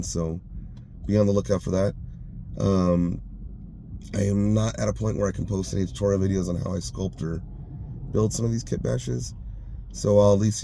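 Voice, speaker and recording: A man hosting a hobby vlog, casual and rambling, hesitant with many ums and you-knows, his voice going hoarse. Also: low voice, dark tone, a little hiss or hum. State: losing his voice. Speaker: hobby channel host.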